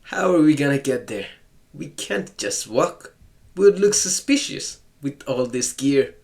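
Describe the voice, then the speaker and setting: A man's voice: on the high side, animated, unhurried, gravelly, darkish tone. A man playing through a visual novel, reading lines aloud and tossing in sarcastic asides and jokes. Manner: sarcastic, joking asides to the game. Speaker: let's-play gamer. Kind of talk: casual game commentary.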